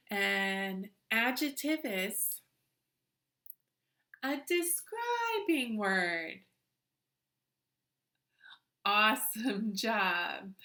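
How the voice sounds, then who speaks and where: Lady teacher doing an online kids lesson, bright clear-cut voice, takes it slow, encouraging and asks lots of questions. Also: medium pitch. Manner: encouraging, simple instructional phrasing with questions and repeats. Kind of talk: interactive children's lesson, addressing viewers through the screen.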